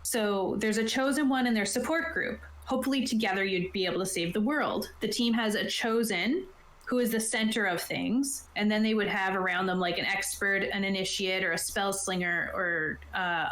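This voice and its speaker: A woman talks through tabletop game rules as a game master, in a casual and slightly halting manner. Her voice sits at an average pitch. The recording is roomy, with clear echo around the words. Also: a bit bright. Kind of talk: casual explanatory talk, reading from a rulebook. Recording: roomy, echoing sound.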